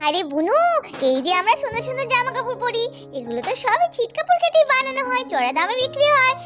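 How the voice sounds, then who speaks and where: Squeaky-high, eager little girl doll. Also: sing-song, fast talker. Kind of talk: doll-story role play. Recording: loud children's music behind voice.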